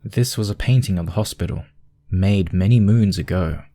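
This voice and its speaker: A man's voice: sits low, moderate pace, gravelly, dark tone. A man narrating a scripted piece of fiction as a storyteller.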